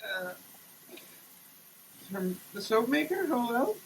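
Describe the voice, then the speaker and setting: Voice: mid-range voice, very animated, slow, a little hiss or hum, poor audio. A person giving a theatrical, declamatory dramatic reading of printed text. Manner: theatrical, declamatory, with muttered asides. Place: shower.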